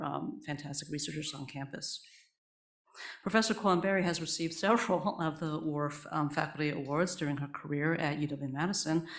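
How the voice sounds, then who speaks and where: A host giving a measured, formal introduction to a guest speaker at a public talk, with frequent pauses. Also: medium pitch, roomy sound.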